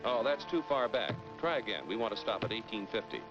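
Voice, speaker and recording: A man plays a polished, instructive American narrator from a vintage educational cartoon. His mid-pitched delivery is animated and persuasive, heard over loud music on a dull old film soundtrack. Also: brisk, close to an everyday voice. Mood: patient, instructive.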